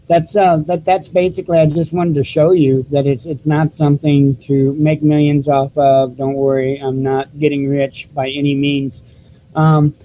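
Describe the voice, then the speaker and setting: A man with a squeaky voice hosting his own YouTube news channel, talking casually to viewers through a cheap desktop microphone with many restarts and fillers. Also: warm tone, slight background noise, rough recording. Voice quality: squeaky voice, self-described. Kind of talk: casual vlog talk to viewers.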